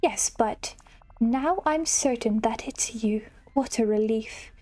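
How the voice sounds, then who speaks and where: A woman voicing a kindly, forgetful elderly fairy godmother in a video game, gentle and hesitant, fussing as she goes. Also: a little high, slow pace. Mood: earnest.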